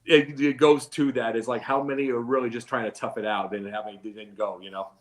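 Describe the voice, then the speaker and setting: A man's voice: medium pitch, steady tone, a little fast. A man on a sports talk panel giving rambling, opinionated takes and repeating his points for emphasis.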